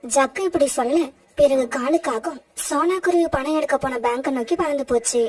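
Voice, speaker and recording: A woman's voice: sits high, brisk, darkish tone. A woman narrating a scripted children's fairytale.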